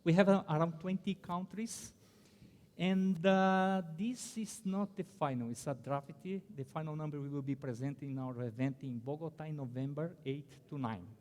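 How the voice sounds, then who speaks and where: A man's voice: on the high side, darkish tone. Brazilian-accented man presenting in a hall. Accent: Brazilian Portuguese. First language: Portuguese. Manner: halting, many fillers and restarts. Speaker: conference panelist. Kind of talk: conference presentation. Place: conference hall.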